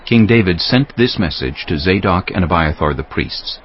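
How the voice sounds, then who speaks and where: A man's voice: low voice, expressive, normal speed, lo-fi sound, a little hiss or hum. A man reading scripted Bible narration as a narrator.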